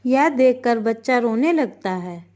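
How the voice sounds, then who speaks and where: A woman's voice: on the high side, lively intonation, unhurried, darkish tone. A woman narrating a scripted moral tale for a cartoon as the storyteller.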